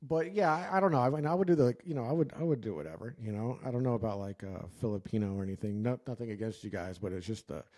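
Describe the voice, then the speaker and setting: Mellow-voiced man rambling casually. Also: on the low side, quick pace, hardly any pauses. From United States. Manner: rambling, joking, many fillers and restarts. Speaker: podcast co-host. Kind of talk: casual chat.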